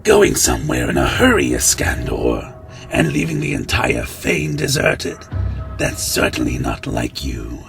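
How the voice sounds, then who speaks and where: A man playing a sly, probing vampire in an audio drama, deliberate and insinuating. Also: extremely low pitch, wildly swooping pitch, gravelly, heavily acted. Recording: dramatic music behind.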